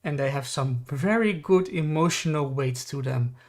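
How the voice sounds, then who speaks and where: A man's voice: fairly high pitch, moderate pace. A man whose first language is Dutch, speaking English in a thoughtful way and searching for words. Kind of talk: casual opinion chat.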